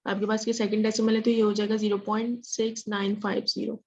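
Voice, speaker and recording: Even-toned woman teacher, dull lo-fi audio. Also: medium pitch, quick pace, a little hiss or hum. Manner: questioning, checks understanding often; mixes English phrases into speech. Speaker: statistics teacher. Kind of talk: interactive online math lesson.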